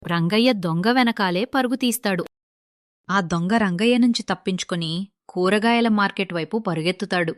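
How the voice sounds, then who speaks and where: Female narrator for moral tales, medium pitch, scripted storytelling, dry studio-like sound. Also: normal speed, dry recording.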